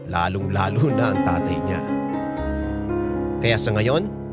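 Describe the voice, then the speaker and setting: Earnest older Filipino man confessing forbidden love, radio drama narration, lowish varied pitch, loud piano underneath. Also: lively intonation, slow pace. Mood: earnest, reflective. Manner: earnest, reflective. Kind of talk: first-person letter narration in radio drama. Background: loud piano music.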